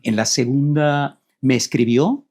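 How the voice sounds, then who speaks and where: A middle-aged man from Rico, a guest telling his life story in a reflective, measured way. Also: medium pitch, darkish tone. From Puerto Rico. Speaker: guest, co-author. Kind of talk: personal storytelling in conversation.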